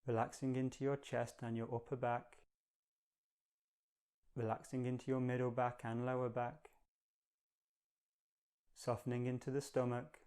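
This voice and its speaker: A man leading a guided meditation in a calm, gentle, measured manner, giving slow, soothing instructions. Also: mid-range voice, clear, resonant tone.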